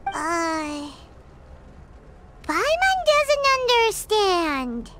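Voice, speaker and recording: A woman voicing a tiny, excitable, childlike fairy companion in a video game, with a squeaky, perky delivery. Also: very slow, very noisy, heavily acted. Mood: puzzled, uneasy. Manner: perky, refers to self by name. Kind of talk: video game character acting. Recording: loud background music. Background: game music.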